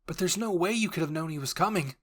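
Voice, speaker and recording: A desperate teenage boy soldier from an anime, acted by a man, his voice pushed high and loud. He pleads urgently and forcefully, tearful and stubborn on behalf of his friend. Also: quick pace. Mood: defiant. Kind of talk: anime roleplay voice acting.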